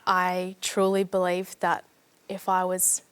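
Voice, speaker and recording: A woman's voice: on the low side, completely flat delivery, slow pace, clear, resonant tone. A young adult woman, a studio guest, speaking earnestly and reflectively about her past. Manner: earnest, reflective, speaking softly at hard points. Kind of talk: studio discussion.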